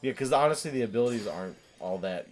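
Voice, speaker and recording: A man's voice: medium pitch, lively intonation, fast. A man hosting a gaming show, giving casual, chatty, musing commentary as he plays with a co-host. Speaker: host of a let's-play show. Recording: game music behind the voice. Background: video game music.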